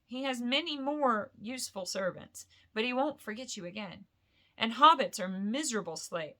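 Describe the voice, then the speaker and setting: A woman voicing a grave, commanding old male wizard in a bedtime storybook, speaking slowly and with portentous emphasis. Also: fairly high pitch, sharp tone.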